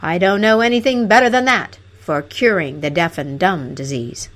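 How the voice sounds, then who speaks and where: A woman's voice: lowish voice, moderate pace, fairly bright tone, natural voice. A woman doing a stern, sarcastic admiral. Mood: sarcastic command. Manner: sarcastic, barking orders. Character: stern, commanding male navy admiral. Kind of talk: fairy tale storybook character.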